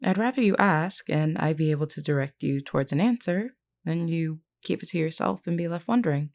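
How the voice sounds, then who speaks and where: A woman performs a vampire queen in an intimate audio roleplay. Her voice sits low for a woman and has a clear creak to it. She talks to one listener in measured, formal words, gentle and candid. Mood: gently amused. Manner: measured, reassuring, formally worded.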